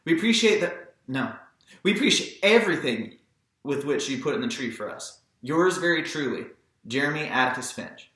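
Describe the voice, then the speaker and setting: An earnest boy from a storybook, as played by a man, polite and moody. His delivery is bright and halting, pitched up in moments of urgency. The room rings heavily with echo. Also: animated. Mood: careful. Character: Jem, earnest boy of about twelve, polite, moody, Southern. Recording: very echoey room.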